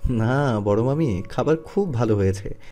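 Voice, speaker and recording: A man's voice: mid-range voice, lively intonation, unhurried, warm tone, soft background music, lightly acted. A man playing a polite, reserved young nephew in an audio story drama. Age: young adult.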